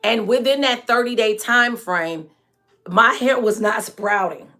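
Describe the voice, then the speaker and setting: Chatty fortyish lady on a livestream, frank, laughs a lot, voice kinda hoarse. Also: on the high side, measured pace, bright, forceful tone. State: hoarse and dry voice from staying up late. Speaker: natural hair care host and product maker. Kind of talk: live-stream chat answering viewer comments.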